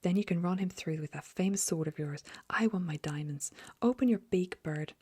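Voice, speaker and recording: A woman voicing a shrill, demanding older duchess in a breathy whisper. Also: moderate pace, dark tone, no echo. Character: shrieking older duchess, former opera singer.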